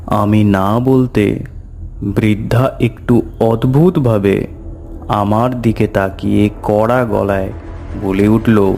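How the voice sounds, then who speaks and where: A man narrating a scripted horror story in the first person, in a measured, deliberate way. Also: lowish voice, fairly even intonation, gravelly, warm tone, a little hiss or hum. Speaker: storyteller narrator voicing a tantric recounting his past. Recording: music bed under the voice. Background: music.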